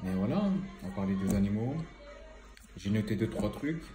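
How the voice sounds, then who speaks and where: A man's voice: on the low side, expressive, normal speed, reverberant. A man with a cold and a slightly stuffy voice, giving a casual, rambling commentary full of hesitations and fillers. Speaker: environmental vlogger.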